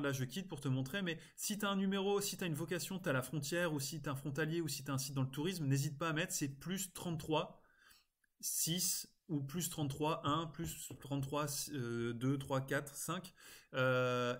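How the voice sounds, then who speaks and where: A man presenting an informal screen-recorded software tutorial, explaining step by step in a casual way with frequent little interjections. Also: fairly high pitch. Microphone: screen-recording voice-over.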